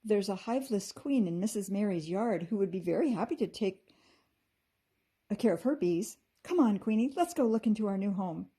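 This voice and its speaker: A woman's voice: medium pitch, lively intonation, normal speed, mellow, slightly rough. A woman voicing a sweet, caring little fairy girl in a storybook, with earnest expression. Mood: happy.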